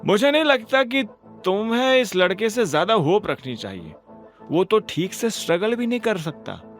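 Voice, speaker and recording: Casting: male narrator as wealthy, smug suitor, raised pitch, animated, persuasive with a sneering edge. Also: moderate pace, faint music behind. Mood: dismissive. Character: smug, wealthy young businessman, pushy suitor, condescending. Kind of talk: Hindi audio drama character acting.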